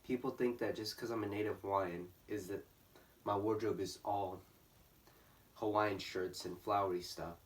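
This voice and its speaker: A sixteen-year-old boy from California speaking earnestly to camera as a student, in a measured, candid way. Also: on the low side, steady tone, slight background noise. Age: teens. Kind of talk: speaking to camera for a school video. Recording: distant mic, faint music behind.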